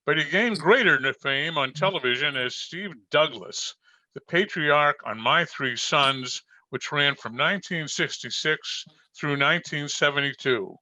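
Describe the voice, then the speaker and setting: A man's voice: fairly high pitch, measured pace, bright, forceful tone, dry recording. A man announcing and narrating an old-time radio show from a script. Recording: dull, narrow video-call audio.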